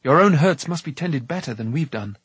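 A man's voice: on the high side, expressive, a little fast, fairly bright tone, husky, dry recording, voice pushed up, exaggerated voice. A man voicing an earnest, humble young hero with sincere, formal speech in a storybook reading. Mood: urgent.

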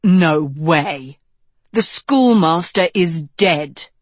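A woman's voice: fairly low pitch, measured pace, no room sound. Young king, read by a woman: proud, blunt, defensive, scornful; fantasy audiobook, dry studio sound. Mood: scoffing.

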